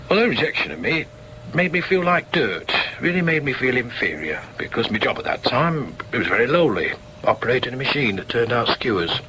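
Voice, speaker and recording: A middle-aged Northern English communist father in a radio play. His voice swings expressively in pitch with a forceful edge. He speaks plainly and warily, repeating words as he gropes for them, over faint background noise. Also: poor audio. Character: earnest working-class father, committed communist trade unionist, wary. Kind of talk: naturalistic radio drama acting, dialogue and inner thoughts.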